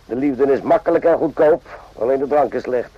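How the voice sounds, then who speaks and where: An actor playing a cynical, world-weary army sergeant in a radio drama, offhand and sarcastic, in short clipped phrases. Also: male voice, mid-range voice, normal speed, breathy edge. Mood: cynical, teasing. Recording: muffled lo-fi old radio recording.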